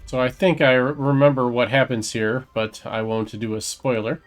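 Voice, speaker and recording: Male gamer, mid-pitched, casual, low-key, thinking aloud while playing. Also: little pitch movement, normal speed, faint music behind. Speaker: gaming streamer. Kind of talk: casual playthrough commentary.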